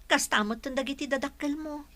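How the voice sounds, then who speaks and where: Woman as young female college student, radio drama, very high pitch, hopeful, dutiful. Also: fairly quick, fairly bright tone. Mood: wistful. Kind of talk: Ilocano radio drama acting.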